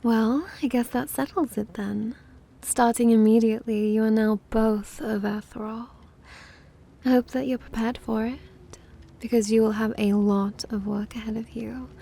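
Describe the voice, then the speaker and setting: A woman's voice: a little high, steady tone, measured pace, vocal fry, mellow. A woman playing a playful, smug and possessive vampiress in an ASMR roleplay, with a dramatic, coy delivery. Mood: commanding, teasing.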